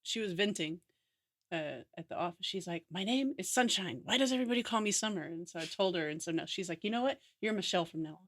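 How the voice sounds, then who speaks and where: A woman guest from Texas telling a casual story on a podcast, relaxed with hesitant fillers. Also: on the low side, fast talker, dry recording, studio quality.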